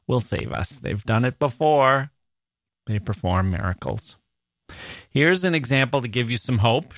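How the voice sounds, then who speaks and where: A man hosting a podcast in a studio, chatting in a wry, theatrical, mock-grand way with dramatic pauses. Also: mid-range voice, a bit bright, no room sound. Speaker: podcast host.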